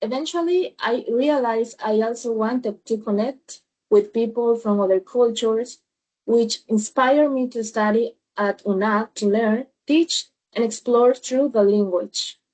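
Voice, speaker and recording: A woman's voice: on the high side, measured pace, darkish tone, rough recording. A woman in her early twenties with a Colombian Spanish accent, giving a prepared personal account as a podcast panelist. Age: early 20s. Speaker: podcast panelist, language student.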